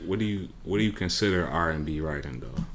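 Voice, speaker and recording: A man with a very deep voice who hosts a podcast. He keeps things laid-back and encouraging, and he nudges the talk along with short follow-up questions. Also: animated, quick pace. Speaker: podcast host. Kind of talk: casual interview chat.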